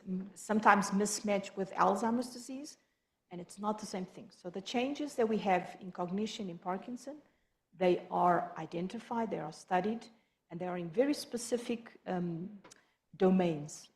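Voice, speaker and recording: A woman's voice: medium pitch, a little mellow. A woman gives a support group talk, hurrying through her points and often restarting sentences. She speaks as a health professional in an echoey meeting room. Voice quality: quick, halting delivery with many restarts.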